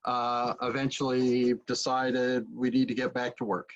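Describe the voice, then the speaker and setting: A middle-aged American man with a medium-pitched voice conducts an interview. He puts his questions conversationally, speaking over a video call from a room at home. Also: monotone, measured pace, dull audio.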